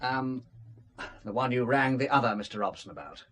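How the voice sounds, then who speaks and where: An actor playing a polite, shady driver in a radio drama, courteous but guarded and evasive. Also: male voice, medium pitch, steady tone, normal speed, fairly bright tone, lightly acted.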